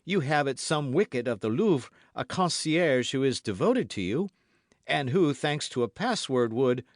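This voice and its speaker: A man's voice: mid-range voice, expressive, measured pace, no room sound, clean studio sound, voice pushed up, natural voice. A man reading an audiobook as a brave, eager young guardsman with an emphatic, courtly way of speaking. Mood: bold, earnest. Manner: emphatic, courtly, formal speech.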